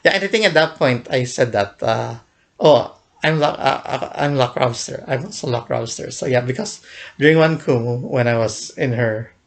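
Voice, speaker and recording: A man's voice: medium pitch, normal speed, gravelly. A young man casually recounting a fan event in a vlog, rambling with many fillers and restarts, often chuckling. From United States.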